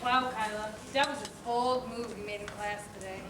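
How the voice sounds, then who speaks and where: A woman's voice: mid-range voice, steady tone, moderate pace, far from the mic, close to an everyday voice. A woman playing a wary young fish classmate in a children's stage play. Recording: echoey, noisy hall from afar.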